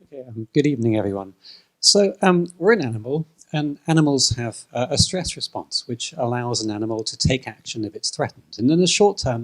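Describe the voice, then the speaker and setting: A man speaking on a panel at a live launch event, explaining in a measured way with frequent fillers. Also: medium pitch, poor audio.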